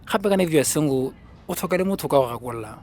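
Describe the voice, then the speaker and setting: An actor playing a friendly young groom-to-be with a Botswana accent, talking casually and slangily in a radio drama. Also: male voice, fairly high pitch, expressive, racing, darkish tone, natural voice. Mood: joking, persuasive. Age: young adult.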